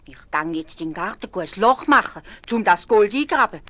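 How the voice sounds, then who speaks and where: A woman's voice: medium pitch, lively intonation, normal speed, fairly bright tone, voice pushed up, close to an everyday voice. A woman with a Swiss German accent voicing a poor, kindly male woodcutter who talks urgently.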